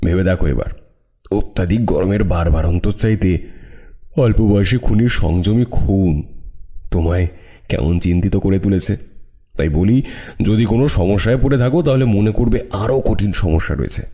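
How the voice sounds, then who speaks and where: An actor playing a calm, dry-witted detective in an audio drama. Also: male voice, low-pitched, moderate pace. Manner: dry, teasing. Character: detective Rudra, wry and calm.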